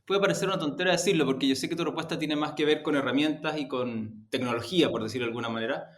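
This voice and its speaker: A man giving reflective interview answers as a guest, deliberate and halting, often correcting himself. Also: fairly high pitch. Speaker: interview guest, media executive. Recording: roomy echo on the voice.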